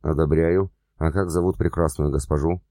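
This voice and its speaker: A man's voice: a bass voice, steady tone, moderate pace, warm tone, no room sound, clean studio sound. A man voicing a boastful crooked businessman who flirts and swaggers. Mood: charming.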